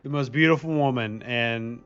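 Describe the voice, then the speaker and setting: A man's voice: medium pitch, moderate pace, fairly bright tone, smooth, dull audio. A man in casual stream banter, giving blunt, opinionated rants. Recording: faint music behind.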